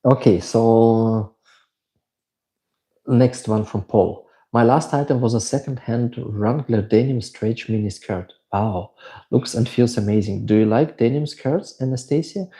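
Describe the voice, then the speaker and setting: A man's voice: mid-range voice, measured pace, warm tone. A middle-aged man from Switzerland with a German accent, chatting casually as a livestream host, reading out viewer questions and answering at length. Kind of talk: casual live Q&A chat.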